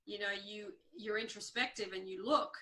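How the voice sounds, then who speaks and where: A woman hosting a casual interview, chatting in an animated, encouraging and agreeable way. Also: medium pitch, moderate pace. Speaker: interviewer, host.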